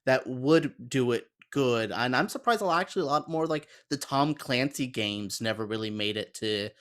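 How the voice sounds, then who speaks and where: A man's voice: mid-range voice, expressive, moderate pace, a bit bright. A man in casual panel conversation, speaking in an enthusiastic, opinionated way. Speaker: podcast panelist. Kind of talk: casual chat.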